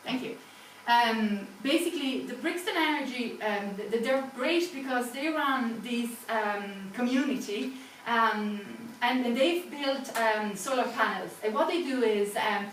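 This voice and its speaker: A high-voiced Italian woman talks to a small audience in a loose, self-deprecating way, filling her sentences with ums and restarts. She is caught from across the room, so her voice sounds far off and very echoey over a faint hiss. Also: unhurried, few pauses. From Italy. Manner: chatty, self-deprecating, many fillers and restarts. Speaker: guest speaker. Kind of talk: informal public talk. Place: small venue, talk to live audience.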